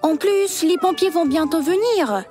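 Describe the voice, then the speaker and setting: A woman playing a caring, thoughtful young girl with an expressive manner in a children's cartoon. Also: very high voice, a little slow. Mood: thoughtful, helpful. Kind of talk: animated children's cartoon dub. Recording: loud music under the voice.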